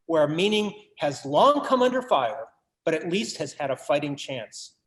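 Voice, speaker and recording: An actor playing an anxious, self-absorbed collector with earnest, literary phrasing in a staged play reading. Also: male voice, a little high, roomy sound, lightly acted, low-quality recording. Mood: agitated. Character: anxious, self-absorbed, wordy male collector brooding on his legacy. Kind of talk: theatrical staged reading, monologue and verse.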